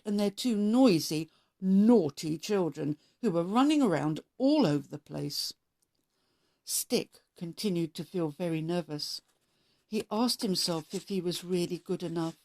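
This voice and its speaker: A woman narrating a children's story as a read-aloud host, expressive and measured in her delivery. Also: mid-range voice.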